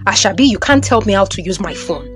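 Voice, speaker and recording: A woman reads a young Nigerian husband in an audio drama, dismissive and needling. The sarcastic lines come in a Nigerian accent at a middle pitch, over faint music. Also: expressive, fast, lightly acted. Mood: defensive.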